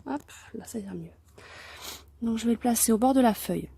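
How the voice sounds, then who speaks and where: A woman with a cold talks through a craft tutorial at her work table, her voice hoarse and gravelly with frequent fry. She speaks softly, often slipping into a near whisper, pausing and restarting as she works. A faint hiss sits under the recording. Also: fairly high pitch. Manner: soft-spoken, often near a whisper, hesitant. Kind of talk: calm step-by-step craft tutorial.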